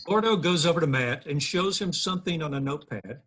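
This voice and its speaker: A man's voice: on the high side, brisk, a bit bright, a little hiss or hum. A man reading out stage directions as scripted narration at a table read over video call.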